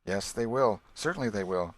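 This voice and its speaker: A man reading an audiobook, voicing a brave, tender young boy in a gentle, reassuring, earnest way. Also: on the low side, expressive, normal speed. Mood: steady, hopeful. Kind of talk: audiobook character voice.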